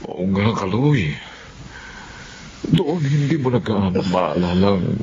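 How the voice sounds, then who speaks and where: A practical Filipino older brother in a radio drama, low-voiced and persuasive. His delivery is animated, and the sound is dull. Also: takes it slowly. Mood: urging. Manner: advising, persuasive. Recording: dull lo-fi audio, faint noise.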